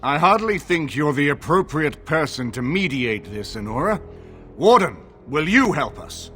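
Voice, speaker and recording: A man's voice: a little high, sing-song, a little slow, sharp tone, faint music behind, full character voice. A man voicing a stern nobleman in a fantasy video game, formal and pointed as he speaks. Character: stern noble arbiter addressing a royal dispute.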